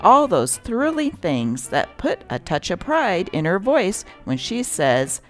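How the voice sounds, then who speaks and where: A woman's voice: lowish voice, sing-song, slow pace, faint music behind. A woman host and narrator reading old newspaper items and ads aloud.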